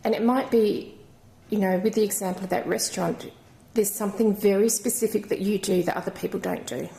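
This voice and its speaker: A woman with a croaky voice giving a channelled crystal reading in a gentle, rambling, reassuring way. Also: mid-range voice, fairly even intonation, fairly quick. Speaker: intuitive card reader. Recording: echoey room.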